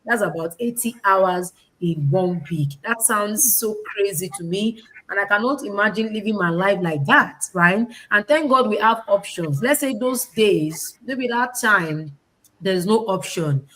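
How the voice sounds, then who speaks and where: A woman's voice: mid-range voice, measured pace, a bit bright, rough recording. A woman presenting informally online, emphatic, repeating phrases and asking rhetorical questions. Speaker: online presenter, business owner and educator.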